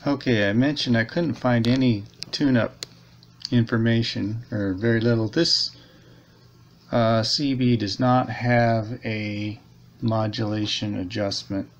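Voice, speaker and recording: A man's voice: mid-range voice, a little slow. A man giving a casual, unscripted repair walkthrough, thinking aloud in a hesitant, rambling way, recorded on a handheld camera at a desk indoors. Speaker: hobbyist radio repairer presenting a video. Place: small indoor room at a desk. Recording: steady background noise, handheld camera recording.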